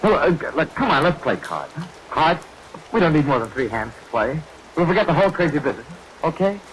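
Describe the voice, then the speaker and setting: A man's voice: a little high, sing-song, a little fast, a bit bright, rough recording. An actor playing a stubborn, brusque man who dismisses others' fears in a vintage radio play. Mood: impatient, reassuring. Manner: impatient, brusque. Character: stubborn, dismissive man insisting all is normal. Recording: vintage radio recording with rain noise. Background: rain.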